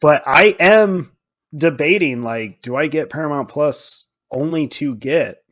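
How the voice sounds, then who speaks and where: A man's voice: fairly high pitch, measured pace, fairly bright tone. A man co-hosting a podcast, talking casually and thinking aloud as he goes. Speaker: podcast co-host.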